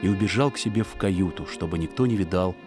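A man reading a story aloud as an audiobook narrator, in an expressive, theatrical manner. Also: deep voice, fast, over music. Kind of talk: dramatic scripted story narration.

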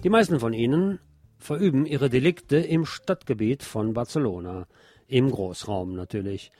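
A man's voice: mid-range voice, normal speed, a little mellow, faint music behind. A man presenting scripted radio news, with brief asides dropped in between the items.

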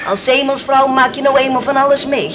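A woman's voice: high-pitched, a little fast, lightly acted. An actress playing an earthy, outspoken seaman's widow in a radio drama, talkative and wry. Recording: noisy old radio play recording.

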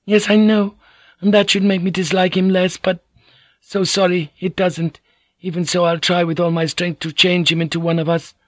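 A man's voice: high voice, little pitch movement, normal speed, a bit bright, no echo, pitched above the performer's own voice. A man voicing a proud, disdainful young Japanese noblewoman who speaks with scorn. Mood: resentful. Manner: haughty, scornful.

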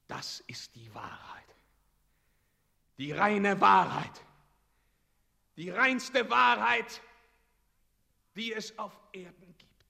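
An actor playing a mortally wounded, weary knightly hero in a German radio drama, husky and halting, moving between breathy murmurs and declamation. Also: male voice, sits high, sharp tone, dry recording, exaggerated voice. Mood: urgent, proclaiming. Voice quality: husky, breathy, often sinking to whispers.

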